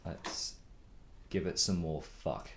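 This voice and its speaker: A young man with a very low voice, chatting casually and swearing now and then as he mixes his own songs on a live stream. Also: slow, background noise. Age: young adult. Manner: rambling, laid-back, swears casually, laughs a lot. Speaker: songwriter streaming his music production. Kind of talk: casual live-stream chat while mixing. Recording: loud music playing under the voice.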